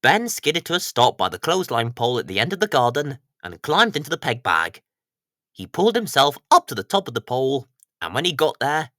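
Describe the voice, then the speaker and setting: A man's voice: medium pitch, pushed and bright, dry recording, studio quality. A man reading scripted narration for a children's story as the storyteller.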